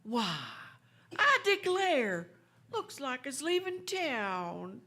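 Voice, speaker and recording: A woman's voice: sits high, sing-song, very slow, sharp tone, a little hiss or hum, exaggerated voice. A woman playing a chatty, folksy Old West townsperson in a western radio drama, with colloquial, drawling, gossipy talk. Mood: curious, sympathetic.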